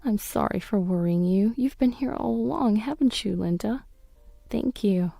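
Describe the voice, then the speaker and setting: Weary, tender mother, acted by a woman narrator, mellow and reassuring, for a family audio story. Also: medium pitch, moderate pace, creaky, no echo, soft background music. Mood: tender, grateful. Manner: soft, reassuring. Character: tired, gentle, reassuring mother of a schoolgirl.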